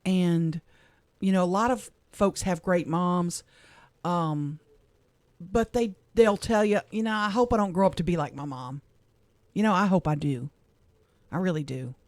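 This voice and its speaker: Middle-aged woman, from Louisiana, soft heartfelt reading, dry studio sound. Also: lowish voice, a little slow, long pauses, no room sound. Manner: heartfelt, soft-spoken, emotional. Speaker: radio co-host. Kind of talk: reading a personal letter on air. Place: radio studio.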